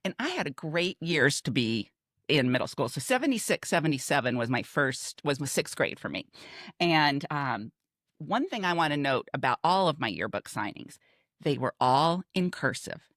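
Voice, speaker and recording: An older American woman with a low voice and very lively intonation, recorded close and dry. She co-hosts a podcast, telling memories in an emphatic, conversational way. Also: normal speed, no echo. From Texas, United States. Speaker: podcast co-host. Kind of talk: casual chat and reminiscing.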